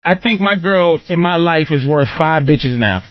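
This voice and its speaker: A man hosting a casual comedy talk radio show in a blunt, profane, animated way. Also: fairly high pitch, a little slow, no echo. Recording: muffled radio audio with music behind.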